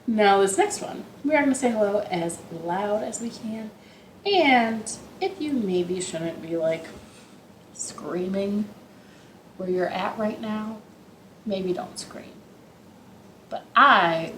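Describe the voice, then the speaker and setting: A woman's voice: mid-range voice, animated, slow, fairly bright tone, roomy sound, a little hiss or hum, rough recording. A woman hosting an interactive storytime for young children, chatty and playful, with rambling asides. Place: living room at home.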